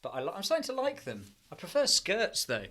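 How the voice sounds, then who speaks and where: A British man talks in a loose, conversational podcast style. His voice has a clearly bright, crisp edge. He tends to ramble and cut across his own sentences. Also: high-pitched, wildly swooping pitch, brisk, a little hiss or hum. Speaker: podcast co-host. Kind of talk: casual podcast chat.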